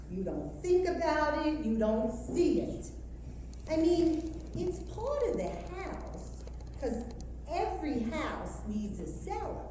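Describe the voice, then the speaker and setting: A woman's voice: a little high, mellow, recorded from a distance. Woman acting a flustered old lady, echoing hall. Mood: matter-of-fact, wry. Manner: halting, scandalized, emphatic, with asides. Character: reticent, embarrassed old woman in her seventies, reminiscing. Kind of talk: theatrical stage monologue. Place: live stage performance at a university. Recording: echoey hall from afar, noisy.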